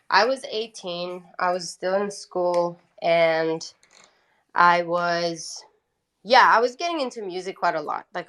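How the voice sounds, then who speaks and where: Woman, lowish voice, informal interview storytelling, thinks aloud, peppers speech with "you know". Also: sharp tone. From Italy. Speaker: guest, independent singer-songwriter. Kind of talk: casual conversational interview storytelling.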